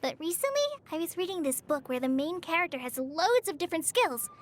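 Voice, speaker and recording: A woman's voice: very high-pitched, big pitch swings, a little fast, slight background noise. An actress playing a shy, earnest teenage girl forest ranger trainee in a video game, hesitant and easily flustered. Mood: chatty, modest. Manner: hesitant, stammering, apologetic. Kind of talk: video game character voice lines. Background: background music.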